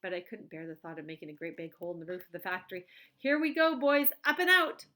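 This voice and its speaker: A woman voicing an excitable, eccentric male candy maker who gushes and exclaims theatrically. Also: on the low side, fast talker. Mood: gleeful.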